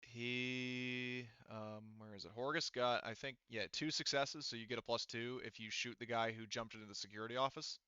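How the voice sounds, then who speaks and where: A man's voice: medium pitch, fairly even intonation, normal speed, hardly any pauses, pushed and bright, clean tone, no room sound, dull audio. A man running a tabletop role-playing game as game master, talking rules casually and swearing freely.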